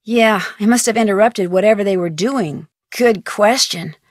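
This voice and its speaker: A woman's voice: mid-range voice, moderate pace, no room sound, clean studio sound, lightly acted. A woman voicing a curious young amateur detective in a game, with an inquisitive, breezy, slightly cheeky way of asking questions. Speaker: game protagonist. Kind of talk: game voice lines.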